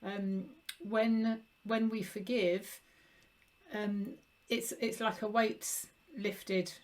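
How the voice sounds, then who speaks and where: A woman's voice: mid-range voice, flat delivery, drawn-out words, darkish tone. A woman hosting an interview, supportive and empathetic, using frequent fillers as she talks. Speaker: interview host.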